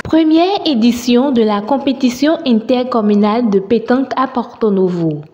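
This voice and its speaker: Mellow woman reporter, heavy reverb. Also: a little high, moderate pace, dull audio. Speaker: news reporter. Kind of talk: scripted news narration. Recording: long reverberant tail on voice.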